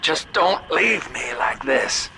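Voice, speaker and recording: Wry schemer amid noisy ambience. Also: male voice, on the high side, expressive, slow pace. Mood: pained, resigned. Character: Augustus Sinclair, slick older businessman, wry, world-weary schemer. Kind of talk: video game voice acting.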